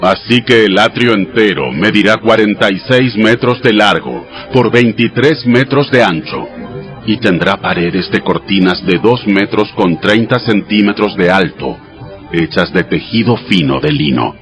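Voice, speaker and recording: A man voicing God in a dramatized audio Bible, giving sacred commands in a measured, solemn, instructive way. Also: lowish voice, close to an everyday voice. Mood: solemn, commanding. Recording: studio voice over background music.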